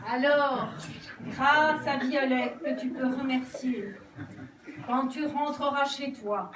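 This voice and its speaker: A woman playing a slow, solemn forest fairy. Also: sits high, fairly even intonation. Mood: kindly. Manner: slow, solemn spell-casting. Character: kindly female forest fairy granting wishes. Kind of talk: amateur family stage play. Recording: echoey room, far from the mic, faint background noise.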